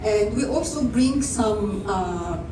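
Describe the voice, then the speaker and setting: A woman's voice: mid-range voice, animated, slow. A middle-aged woman from Egypt with an Egyptian accent, speaking informally and enthusiastically into a handheld microphone at a networking event, with frequent fillers. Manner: warm, laughing, enthusiastic, with fillers. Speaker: teaching professor. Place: large indoor event space. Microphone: handheld microphone, heard from far off. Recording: echoey room from far off, background noise.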